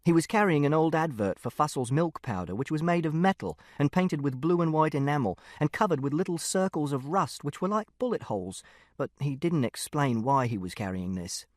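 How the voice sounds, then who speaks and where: An earnest, literal-minded teenage boy, played by a man, telling his story as an audiobook. The voice is fairly high and very varied in pitch, with precise, matter-of-fact phrasing. It is recorded dry. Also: expressive, a little fast, no echo, close to an everyday voice. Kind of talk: first-person audiobook narration.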